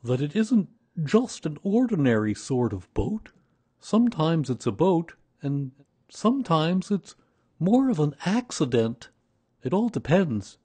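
Casting: simple, proud, lovable bear for a children's tale, voiced by a man, growly and husky, raised in pitch. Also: very animated, measured pace, mellow, full character voice. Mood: modest, explaining. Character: proud, slow-witted, lovable male bear.

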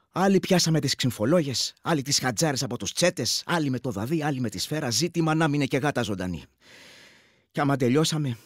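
A man's voice: mid-range voice, no room sound, clean studio sound, natural voice. An actor playing an earthy village war veteran in a radio play, telling his story conversationally with a rural Greek accent and acting out other men's speech. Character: earthy village war veteran recounting brutal combat matter-of-factly.

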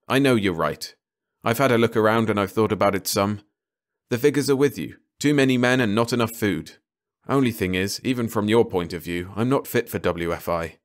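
Stubborn, plain-spoken outlaw, lowish voice a man acting. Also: brisk, lightly acted. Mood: weary, unhappy. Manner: plain, informal, terse. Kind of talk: audiobook character dialogue.

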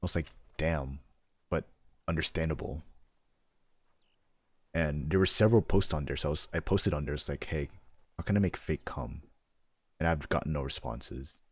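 Very deep-voiced young man, deadpan. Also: moderate pace, long pauses, husky, no echo. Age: young adult. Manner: deadpan, rambling. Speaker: streamer. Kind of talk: casual chat while gaming. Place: home room, seated.

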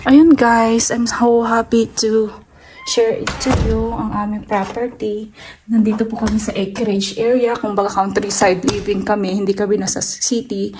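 A woman with a Filipino accent talks in a casual, informal vlog style. Her pitch sits in the middle, and her phrasing halts as she mixes in English words. It is a handheld recording made on the move, with faint noise and clicks in the background. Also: level tone, normal speed, darkish tone, rough recording. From Philippines. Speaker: vlogger, homeowner giving a house tour. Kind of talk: casual vlog chat to viewers. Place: walking through a large house basement and outdoors in snow.

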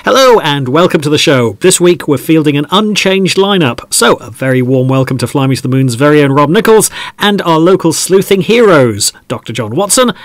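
A man's voice: fairly high pitch, lively intonation, fairly quick, dry recording, natural voice. A man playing a polite, wry football podcast host in an audio drama, with a presenterly manner. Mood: genial, presenterly. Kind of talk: conversational audio drama acting.

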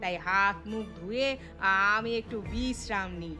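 Cartoon mother-in-law played by a woman: older glutton, sharp bright tone, middle pitch, coaxing and folksy. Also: normal speed, close to an everyday voice. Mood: sheepish, coaxing. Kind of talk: cartoon voice acting. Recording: music behind the voice.

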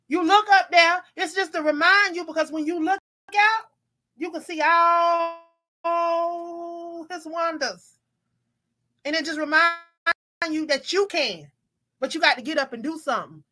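Woman host, middle-aged, bright and a little high, preachy video-call talk. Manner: preachy, restarts often, rising to exclaim. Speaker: podcast host. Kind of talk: casual faith-based panel talk. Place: home room on video call.

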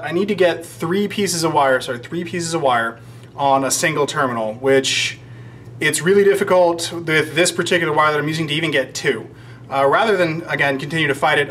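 An American man with a fairly high voice talks through a do-it-yourself job in a casual vlog style. He rambles a little and drops in dry jokes at his own expense. Faint room noise sits behind him. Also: a bit bright. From United States, Georgia. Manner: rambling, wry self-deprecating asides. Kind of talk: casual instructional vlog, explaining to camera. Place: indoors in a shop workroom under renovation. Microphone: camera microphone at about arm's length.

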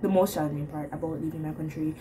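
Jamaican woman, 18, low voice, upbeat and talkative, webcam audio with steady background noise. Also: fast talker. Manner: bubbly, chatty, with light laughs. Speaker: work and travel applicant. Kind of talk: self-introduction video. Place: small room at home, indoors. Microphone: laptop webcam mic at close range.

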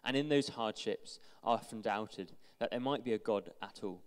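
A young man with a smooth, resonant voice reads a testimony aloud in a steady, earnest way, now and then tripping over a word. He holds a handheld mic, and his words ring out through a big church hall with a long echo behind them. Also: lowish voice, moderate pace. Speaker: church member reading a friend's testimony. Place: large church hall with stage.

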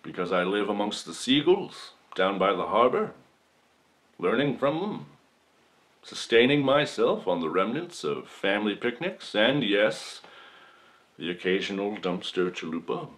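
A man performs a folksy male defendant addressing a jury in a comic monologue. His delivery is mock-solemn and shameless, drawn out with long dramatic pauses. The slightly deep voice swings widely in pitch as he pleads his case. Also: very animated, a bit bright. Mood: indignant, accusing. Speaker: podcast performer. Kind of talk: theatrical comedic courtroom monologue.

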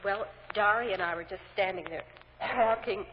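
A woman's voice: medium pitch, normal speed, natural voice. An actress playing an aggrieved, self-pitying mother on the witness stand, plaintive and hesitant, in 1950s radio drama style. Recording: muffled, noisy old radio recording, reverberant.

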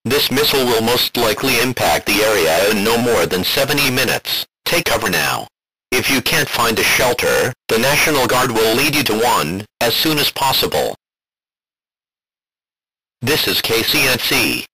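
A man's voice: mid-range voice, sing-song, moderate pace, sharp tone, a little hiss or hum, rough recording. A man reading a scripted emergency alert as a broadcast announcer, formal and impersonal.

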